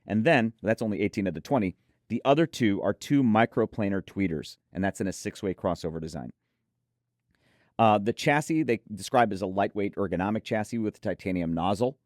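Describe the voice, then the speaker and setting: Low-voiced man presenting gear, dry sound. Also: lively intonation, fairly quick, darkish tone, no room sound. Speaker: host presenting an audio gear preview. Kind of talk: conversational product review reading specs.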